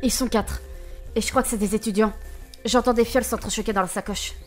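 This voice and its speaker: A spirited young woman adventurer, voiced by an actress in a fantasy audio drama. Her voice is on the high side, and she talks in a chatty, informal, expressive way, with faint music behind her. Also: fairly quick, close to an everyday voice. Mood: puzzled, wary.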